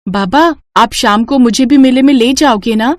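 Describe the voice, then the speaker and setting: A woman voicing an eager ten-year-old village girl with a pleading, excitable manner in a Hindi animated story. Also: a little high, normal speed, no room sound, studio quality, pitched above the performer's own voice, lightly acted. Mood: wheedling.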